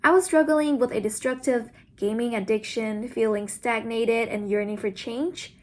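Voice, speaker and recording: High-voiced young woman giving a speech. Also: fairly even intonation, moderate pace, darkish tone. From Vietnam. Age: around 20. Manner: earnest, formal. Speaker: student speaker. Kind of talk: prepared speech.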